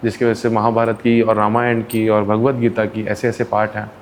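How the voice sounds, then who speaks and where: A man's voice: fairly low pitch, moderate pace. A man, an interview guest who works as a counsellor, telling stories in casual conversation and acting out the people in them. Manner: animated, acts out quoted people with voices. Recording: faint background noise.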